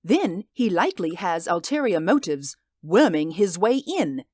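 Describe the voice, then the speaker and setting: A woman's voice: on the low side, sing-song, unhurried, darkish tone, dry recording, studio quality. A woman voicing a suspicious, sarcastic sister who guards her apartment in a radio drama.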